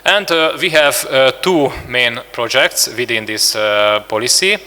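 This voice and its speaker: A man with a Hungarian accent presenting slides at a conference, halting, with many filler sounds and restarts. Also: medium pitch, expressive, pushed and bright, big-room sound. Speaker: conference speaker.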